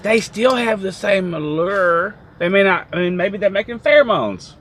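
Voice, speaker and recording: A man with a somewhat high voice rambles through jokes and stories in a casual chat. He talks from the cab of his truck, with a faint hum of noise behind him. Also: lively intonation, moderate pace, fairly bright tone. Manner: rambling, joking storyteller. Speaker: trucking vlogger.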